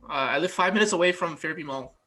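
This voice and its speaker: Bright, laid-back young man. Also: on the high side, fast, soft background music. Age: 20s. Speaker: podcast guest. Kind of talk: casual chat.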